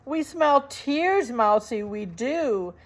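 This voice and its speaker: Woman putting on high, singsong taunting hungry rats. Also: animated, takes it slowly. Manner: singsong, calling out. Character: gleeful hungry vengeful dungeon rats, taunting.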